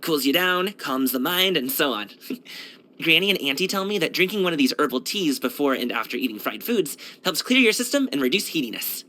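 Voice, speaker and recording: Cheerful young kung fu dancer for a game: bright, animated, breezy, casual slang. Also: male voice, on the high side, a little fast. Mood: chatty, cheerful. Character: upbeat young male kung fu street dancer and courier. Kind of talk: video game character voice lines.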